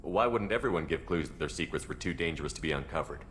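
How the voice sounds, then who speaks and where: Deep-voiced, steady game hero. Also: male voice, a little hiss or hum. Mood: curious. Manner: direct, measured questioning. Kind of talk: video game dialogue acting.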